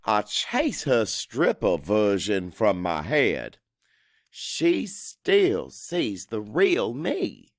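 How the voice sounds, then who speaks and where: Man acting a brooding, slow-talking Cajun father. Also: on the low side, wildly swooping pitch, no echo, studio quality, voice pushed down, exaggerated voice. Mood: brooding, wry. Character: middle-aged Cajun father, anxious, self-doubting, guarded schemer. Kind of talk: first-person dramatic audiobook narration.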